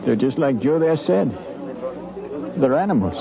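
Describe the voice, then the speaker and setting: A man's voice: medium pitch, lively intonation, measured pace, vocal fry. An actor playing a shaken, bitter young lawman in a 1950s radio drama, brooding and challenging. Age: young adult. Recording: muffled old radio recording, crowd behind.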